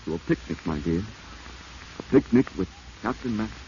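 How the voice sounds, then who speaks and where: A very deep male voice from an actor plays a corrupt, desperate lawman in 1940s radio drama. He is domineering and scheming, with clipped, commanding delivery and a dark tone on a muffled, hissy recording. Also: expressive, moderate pace, close to an everyday voice. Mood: controlled, scheming.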